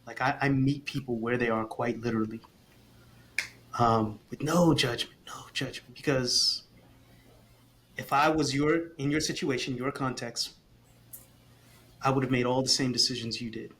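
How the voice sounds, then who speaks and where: A man's voice: medium pitch, fairly even intonation, normal speed, long pauses, fairly bright tone, slight background noise. A man guest on a casual podcast, talking earnestly and expressively, often repeating a phrase while he gathers his thoughts. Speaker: guest, communication coach for parents.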